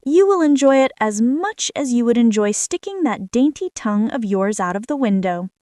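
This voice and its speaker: Woman voicing a sly, greedy storybook cat, high-pitched. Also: sing-song, moderate pace, dark tone, dry recording, studio quality. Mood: cool, brazen. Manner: cool, smooth-talking, brazen. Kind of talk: children's fairy-tale character voice.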